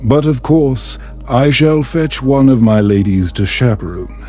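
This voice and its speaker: Scheming highborn mother, read by a male narrator, gracious and persuasive, slow mellow delivery for a bedtime tale. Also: animated, soft background music, natural voice. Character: scheming noble lady, mother, smoothly persuasive.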